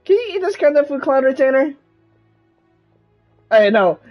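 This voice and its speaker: A woman's voice: high-pitched, big pitch swings, normal speed, muffled recording. A woman streaming a game with casual, chatty commentary. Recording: game music behind the voice.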